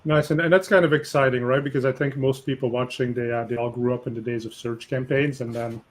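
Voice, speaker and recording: Male host, mid-pitch, upbeat and chatty, fillers here and there. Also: quick pace. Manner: energetic, conversational, with fillers. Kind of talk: webinar hosting.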